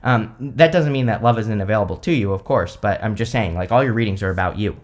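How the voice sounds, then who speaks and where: A man's voice: fairly low pitch, quick pace. A man giving a casual tarot reading to his viewers. He talks in a loose, rambling way, filling his speech with "like" and "you know" and laughing lightly now and then. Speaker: tarot reader, channel host.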